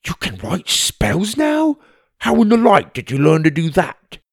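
A man voicing an excitable, cheeky young male fairy friend, drawn-out and wondering. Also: sits high, no room sound, studio quality, pitched above the performer's own voice, full character voice. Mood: amazed.

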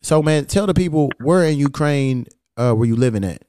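A man's voice: on the high side, animated, moderate pace, dark tone, smooth, no echo. Laid-back slangy male podcast host. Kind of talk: casual podcast conversation.

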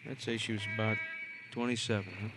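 A man's voice: fairly low pitch, fairly even intonation, a little fast, over music, natural voice. An American man playing a seasoned, matter-of-fact police detective in a vintage radio crime drama, plainspoken and clipped. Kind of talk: 1940s-50s radio crime drama acting. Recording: noisy old radio recording.

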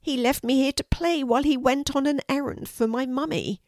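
A woman's voice: sits high, moderate pace, darkish tone, no room sound, clean studio sound, pitched above the performer's own voice, lightly acted. A woman voicing a tiny, timid five-year-old boy dragon in a children's storybook, childlike, earnest and friendly. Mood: reassuring.

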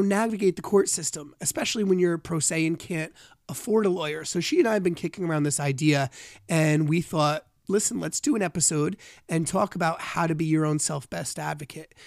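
A man hosting a podcast in casual chat, raspy and a bit under the weather, candid and animated, swearing freely. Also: on the high side, no echo, clean studio sound. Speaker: podcast host.

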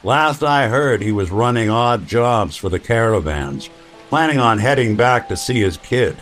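An actor playing a weary, wry old android detective in a noir radio drama. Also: male voice, mid-range voice, animated, fairly bright tone, faint music behind. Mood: teasing. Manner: wry, slow, world-weary.

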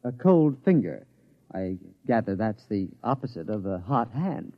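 An actor playing an earnest, bookish scientist with formal, precise diction in an old-time radio comedy. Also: male voice, fairly low pitch, sing-song, a little slow. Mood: dejected, bewildered. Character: earnest, bookish male scientist, baffled but stubbornly enthusiastic gambler. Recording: muffled vintage radio recording.

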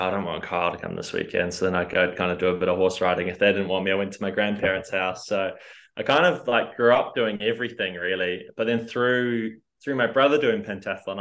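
A man's voice: sits low, fairly bright tone. A man in his twenties, raised in the north of England, now speaking with a New Zealand accent, telling his story in a rambling casual way full of fillers. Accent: New Zealand, with northern English roots. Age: 20s. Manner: rambling, lots of fillers like "like" and "kind of". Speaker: triathlete guest.

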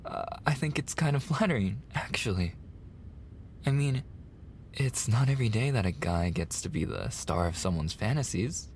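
A man plays a male college tutor in an intimate ASMR roleplay, easygoing and teasing. His soft voice sits low with a heavy creak, and he takes his time with casual, flirty pauses. There is faint noise behind him. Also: lively intonation. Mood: flattered, flirtatious. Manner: casual, hesitant pauses, soft-spoken, drops into whispers. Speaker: audio roleplay performer.

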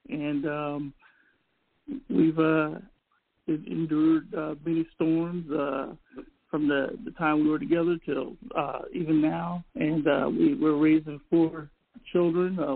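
A soft-spoken man in his forties talks as a radio guest, pausing often between phrases. His slightly high voice stays flat and even, and it comes through a thin, phone-like line. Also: vocal fry. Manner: halting, soft-spoken, many pauses. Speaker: guest, retired army officer. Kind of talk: radio call-in interview. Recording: phone-like narrow audio.

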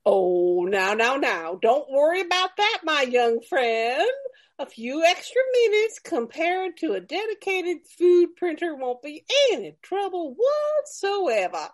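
A folksy lady host of an old-fashioned radio cooking show. Her voice sits high and swoops up and down in fussy, cheerful exclamations. She takes her time over every word. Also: very animated, heavily acted. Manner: exaggerated folksy exclaiming, drawn-out delivery.

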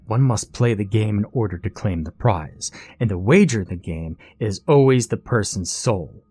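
A man's voice: on the low side, animated, normal speed, warm tone, slightly rough, no room sound. Man acting a determined, argumentative everyman contestant. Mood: emphatic, triumphant. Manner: assertive, argumentative, matter-of-fact. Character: determined, clever male contestant, a loophole-hunting everyman.

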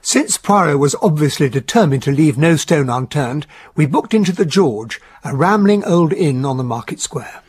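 Close and dry, a genteel English detective's sidekick in a radio play. His voice sits fairly high and is rough-edged and husky, with an affable, easygoing manner. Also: lively intonation, normal speed, few pauses, darkish tone, no echo. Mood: wry narration. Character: affable English gentleman, detective's loyal, unimaginative companion and narrator. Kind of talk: radio drama acting and narration.

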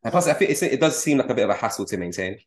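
A young man from the UK chatting casually as a podcast host, quick and full of restarts. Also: mid-range voice, lively intonation. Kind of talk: casual chat.

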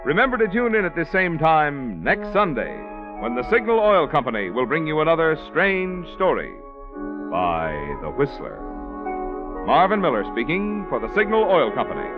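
A polished American man announcing in classic 1940s radio style. His voice is on the high side and very animated, landing words with emphasis. The vintage recording sounds slightly dull. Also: moderate pace, over music.

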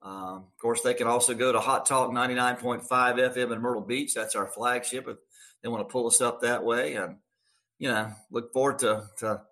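A man in middle age speaks with a bright, forward voice at a medium pitch. He is a radio sports announcer talking casually in an interview. His delivery is folksy and emphatic, circling back on words to drive them home. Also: fairly even intonation, normal speed. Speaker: guest radio play-by-play announcer.

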